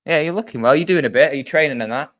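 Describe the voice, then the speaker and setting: A man's voice: a little high, rapid-fire, a bit bright. A young man with a Mancunian accent from near Wigan, chatting casually as a podcast host, swearing and laughing a lot. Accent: Mancunian, Wigan-tinged. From Manchester area, England. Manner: sweary, laughs often, lots of fillers. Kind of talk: casual chat.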